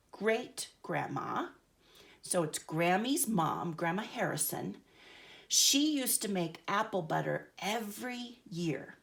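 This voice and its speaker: An older woman tells a children's story at an unhurried pace, her voice rising and falling in lively swoops. She chats gently between pages and asks the little ones questions. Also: mid-range voice, creaky. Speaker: storyteller grandmother.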